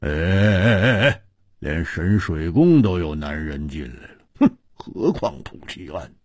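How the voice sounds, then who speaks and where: A man voicing a brash, excitable young male swordsman in an audiobook, stammering and teasing. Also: deep voice, measured pace, slightly rough, no room sound, clean studio sound, heavily acted. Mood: mocking. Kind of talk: audiobook storytelling.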